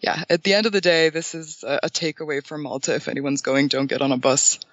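A man, answering interview questions in a thoughtful, hesitant way with many pauses and restarts. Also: a little high, steady tone, fairly bright tone, no room sound, highs cut off. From Bulgaria. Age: 20s. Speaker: guest.

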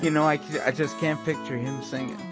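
A man's voice: medium pitch, fairly even intonation, fairly quick, music in the background. A man co-hosting a radio show in casual, unscripted chat. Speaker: co-host.

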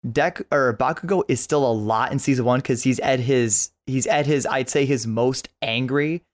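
A man's voice: medium pitch, normal speed. Man chatting enthusiastically about anime. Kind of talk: casual chat about an anime.

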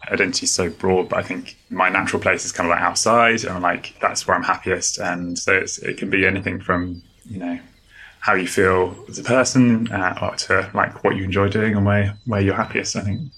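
A man's voice: deep voice, steady tone, brisk, pushed and bright, slightly rough, poor audio. A man from a podcast group, speaking hesitantly and searching for words. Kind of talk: casual reflective chat.

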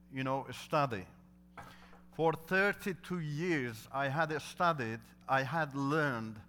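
A man's voice: mid-range voice, pushed and bright, slight background noise. A middle-aged man whose first language is Turkish, giving an earnest testimony in non-native English that builds into emphatic preaching. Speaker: guest speaker telling his testimony. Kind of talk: testimony sermon.

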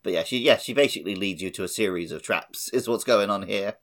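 A man's voice: lowish voice, fast, a bit bright. A man co-hosting a podcast, chatting casually in a wry, joking manner. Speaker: podcast co-host. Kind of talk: casual chat.

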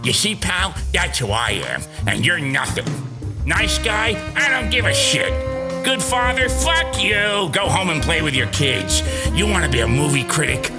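Man putting on a swaggering foul-mouthed critic, lively high voice, loud music behind. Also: unhurried, heavily acted. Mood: abusive boasting. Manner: abusive, boastful, shouting insults. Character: bullying male pun-making movie critic.

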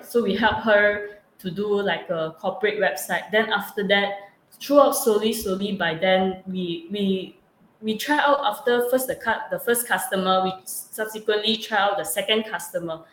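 Young Malaysian woman in an echoey room. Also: mid-range voice. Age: young adult. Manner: repetitive, halting phrasing with frequent "actually". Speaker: student entrepreneur being interviewed. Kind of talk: casual interview answers. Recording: echoey room with clear background noise.

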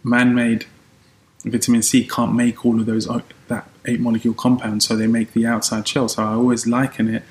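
A man born in Colombia, a podcast guest, explaining things in a casual interview and trailing off into softer asides. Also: medium pitch, completely flat delivery, fairly quick.

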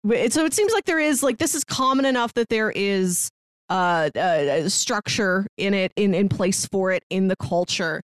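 Woman podcast host just chatting, animated storyteller, dry close sound, medium pitch. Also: normal speed, no room sound. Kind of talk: casual chat about a manga.

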